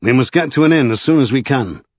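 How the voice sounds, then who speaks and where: A man's voice: mid-range voice, lively intonation, fast, dry recording, lo-fi sound, natural voice. A man voicing an earnest, desperate serving man in a storybook, insistent and exasperated. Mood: urgent.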